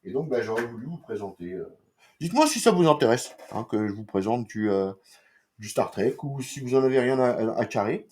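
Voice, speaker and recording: A man's voice: medium pitch, animated, a little fast, darkish tone. A man from France giving a casual, rambling comics review, full of fillers, slang and swearing.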